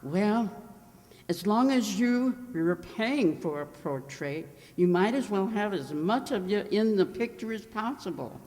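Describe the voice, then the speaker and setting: A woman's voice: medium pitch, expressive, a little slow, darkish tone, close to an everyday voice. Woman acting Katie, wisecracking maid in echoey hall. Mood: helpful, wry. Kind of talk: old-time radio sitcom acting. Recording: echoey hall, long reverb.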